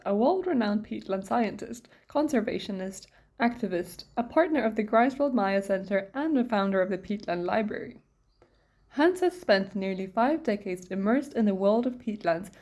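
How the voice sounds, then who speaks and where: A woman opens a podcast with a scripted introduction, reading smoothly and evenly. Her voice is mid-pitched and mellow. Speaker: podcast host.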